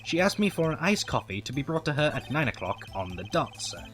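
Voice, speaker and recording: Man playing an eager, animated hotel bellboy. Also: fairly high pitch, a little fast. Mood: assured. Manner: formal, servile, over-precise. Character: eager, obsequious male head hotel bellboy. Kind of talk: video game fandub acting.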